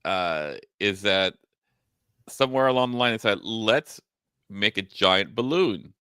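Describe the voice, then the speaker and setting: A man's voice: fairly low pitch, lively intonation, a little slow, bright, forceful tone, smooth, no echo, studio quality. A man co-hosting a podcast with casual, teasing banter and frequent interjections. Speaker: podcast co-host.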